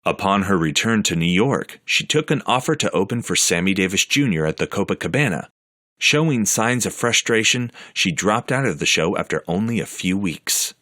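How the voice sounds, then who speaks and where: A man reading scripted documentary narration in a measured, factual way. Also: lowish voice, animated, pushed and bright, no echo, studio quality. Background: music.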